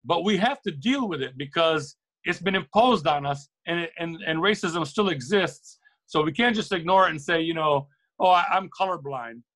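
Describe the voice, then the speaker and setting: A man's voice: on the high side, expressive, moderate pace, a bit bright. A middle-aged man from Lebanon speaking earnestly and reflectively as an interview guest, often repeating and rephrasing words for emphasis. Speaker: interview guest, record shop owner. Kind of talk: reflective, opinionated interview talk.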